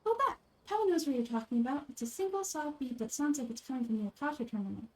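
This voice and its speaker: A woman voicing a small, excitable, childlike fairy companion from a video game, with exclaiming delivery. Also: high-pitched, quick pace, low-quality recording. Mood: eager. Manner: refers to self in third person, exclaiming.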